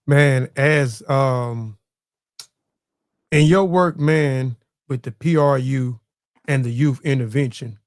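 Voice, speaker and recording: A male podcast host with a mid-range voice and a dry, close sound. He puts his questions in a measured way, with a few fillers. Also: mid-range voice, no room sound. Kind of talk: interview conversation.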